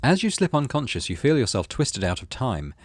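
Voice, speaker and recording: A man's voice: medium pitch, big pitch swings, fairly quick, breathy edge. A man hosting a gaming video, reading story text aloud expressively and adding casual, musing commentary.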